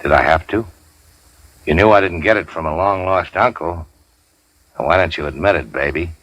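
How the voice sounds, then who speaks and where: An actor playing a tough, cynical racketeer boss in a 1940s radio crime drama, with clipped, slangy, sardonic delivery. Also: male voice, sits low, normal speed, fairly bright tone, no echo, poor audio. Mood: cynical, needling. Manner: hard-boiled, slangy, clipped. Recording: dry 1940s radio recording.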